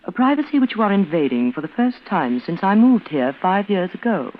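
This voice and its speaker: Through a thin, faintly noisy vintage radio sound comes an actress playing a reclusive, embittered woman. She is aloof and severe, clipping her words in the style of an old suspense play, with a medium-pitched voice. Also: big pitch swings, a little fast, narrow, tinny audio, natural voice. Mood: aloof, resolute. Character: cold, bitter reclusive twin sister, not old.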